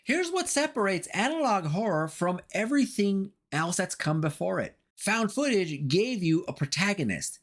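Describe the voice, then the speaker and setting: Man narrating, lively swooping pitch. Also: normal speed, few pauses. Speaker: video essay narrator. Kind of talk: scripted narration analyzing horror.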